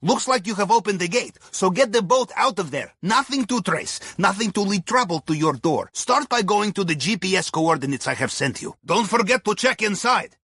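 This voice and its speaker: An actor playing a wry, upbeat Russian submarine heist planner in a video game, with a raspy voice, a Russian accent and clipped broken English delivered with deadpan humor. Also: male voice, high voice, moderate pace, hardly any pauses, dry recording, studio quality, full character voice. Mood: businesslike, helpful. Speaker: mission guide character in a video game. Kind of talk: scripted video game mission dialogue.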